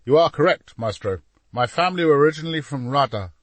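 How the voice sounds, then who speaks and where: A man's voice: fairly high pitch, expressive, fast talker, no room sound, pitched above the performer's own voice, lightly acted. A man voicing an earnest young captain, formal and firm, in an audiobook story.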